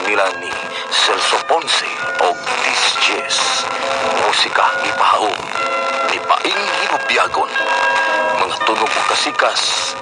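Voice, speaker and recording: A man's voice: fairly low pitch, very animated, slow, rough recording. A man with a Filipino accent doing dramatic scripted announcing for radio programmes. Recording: radio broadcast under loud music.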